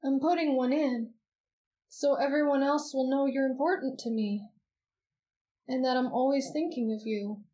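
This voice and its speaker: Woman playing drowsy, clingy sick patient, high-voiced. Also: lightly acted. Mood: tender. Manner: soft, drowsy, slow. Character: sweet, sleepy, feverish patient, childlike and clingy. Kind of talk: anime fan roleplay audio drama.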